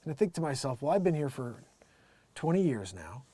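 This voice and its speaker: A middle-aged American man hosting a casual livestream, rambling emphatically and excitedly in an unscripted monologue, often acting out bits of quoted conversation. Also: mid-range voice, brisk, slightly rough, dry recording. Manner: animated, rambling, emphatic, quotes himself and others. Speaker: live music show host and musician.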